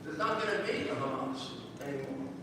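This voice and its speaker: A man's voice: medium pitch, expressive, fairly quick. An older man telling a rambling story as a guest speaker, full of restarts and asides. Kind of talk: anecdotal storytelling. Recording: echoey hall from far off, background noise.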